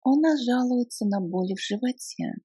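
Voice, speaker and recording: A woman reading short practice sentences, each followed by a slow repetition split into chunks. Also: fairly high pitch, animated, dark tone, husky, no room sound. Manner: reads each sentence, then repeats it slowly in chunks. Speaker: language teacher. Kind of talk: slow phrase-by-phrase drill reading.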